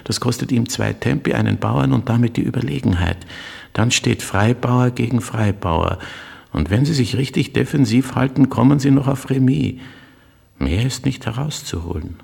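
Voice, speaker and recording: Intense middle-aged stranger, formally polite, urgent, precise, gravelly low voice, long reverb, radio drama. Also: male voice, normal speed, a little mellow. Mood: urgent, calculating. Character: agitated, courteous middle-aged stranger, secretly brilliant chess player. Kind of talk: literary radio drama acting.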